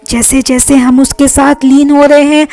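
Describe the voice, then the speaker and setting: A woman leading a guided meditation as a life coach, speaking in a soothing, deliberate, instructing way. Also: high voice, level tone, mellow. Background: meditation music.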